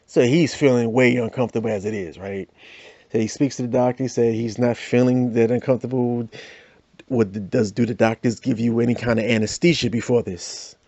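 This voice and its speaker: A man's voice: mid-range voice, steady tone, a little fast, warm tone, no room sound, dull audio. A man hosting a podcast, telling a comic story in a loose, chatty way full of "you know"s. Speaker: podcast host. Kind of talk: comic monologue.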